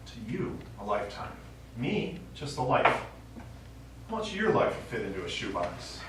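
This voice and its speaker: A man's voice: medium pitch, very animated, normal speed, lightly acted. An actor on stage playing a forgetful, nostalgic elderly father and Army veteran who rambles wistfully through his stories. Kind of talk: live one-act stage play acting. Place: small theatre stage. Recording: very echoey theatre, distant mic, faint noise.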